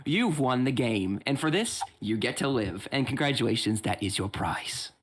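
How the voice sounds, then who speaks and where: A man voicing an eerie, theatrical game-show host spirit in a high, sing-song showman voice. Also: lively intonation, moderate pace, close to an everyday voice. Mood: smug.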